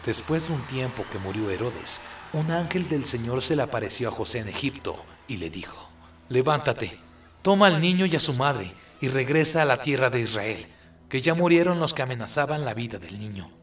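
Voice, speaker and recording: A man's voice: medium pitch, sing-song, moderate pace, fairly bright tone. A man narrating a biblical story from a script as a radio drama narrator.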